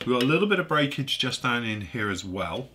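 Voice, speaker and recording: A man's voice: medium pitch, sing-song, brisk, sharp tone, a little hiss or hum. A man with a congested, stuffy voice, chatting casually as he reviews a model kit, thinking aloud while he handles the parts. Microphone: overhead camera on a workbench, voice off-screen.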